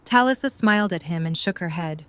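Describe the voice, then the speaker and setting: A woman's voice: lowish voice, animated, fairly quick. A woman reading scripted third-person story narration as the narrator of an audio drama.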